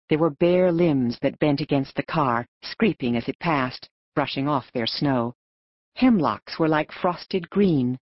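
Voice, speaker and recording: A woman narrates a children's novel in a low voice that moves around expressively in pitch. It is a scripted audiobook reading, close and dry, with slightly dull, lo-fi sound. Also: dry recording.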